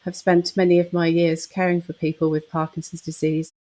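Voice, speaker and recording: A woman from the south of England who works as a nurse, telling her story in an articulate, measured way. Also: on the low side, little pitch movement, warm tone, rough recording. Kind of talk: interview testimony.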